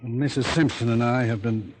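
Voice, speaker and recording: A man playing a gravely wounded, scheming professor in an old radio drama, confessing in halting, labored phrases. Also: medium pitch, darkish tone, lightly acted. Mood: weakly confessing. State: gravely wounded, weak, coughing. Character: dying scheming male professor, a hypnotist confessing murder. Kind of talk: 1950s radio drama acting. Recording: noisy old radio recording with clicks.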